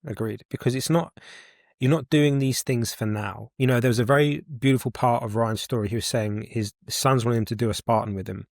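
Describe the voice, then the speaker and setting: A man in his forties hosts a podcast, talking in an eager, emphatic way and often repeating a point to drive it home. His voice sits at an average pitch for a man. The recording is dry and close, with no room echo. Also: a little fast, creaky, darkish tone. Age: 40s. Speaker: podcast host. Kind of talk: casual podcast conversation.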